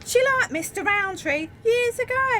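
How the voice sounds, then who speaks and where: A woman's voice: very high-pitched, animated, moderate pace, heavily acted. A woman playing a gossipy, suspicious wartime factory worker in an amateur radio play, chatty and conspiratorial. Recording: loud background noise.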